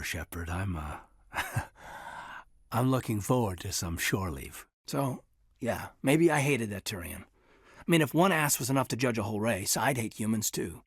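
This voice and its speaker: A man acting in a video game as an earnest, loyal military officer, reserved and thoughtful, with a husky voice and a sincere, measured delivery. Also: fairly low pitch, no room sound, clean studio sound. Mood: wry, warm, then wry, casual.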